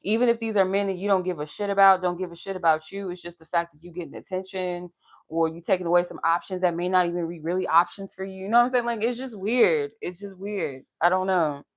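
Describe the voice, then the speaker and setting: Young adult woman, low pleasant voice, frank and profane, casual storyteller, close mic. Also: fast talker. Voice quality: soothing, pleasant voice. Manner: laughs while talking. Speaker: live tarot reader. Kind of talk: casual live-stream chat.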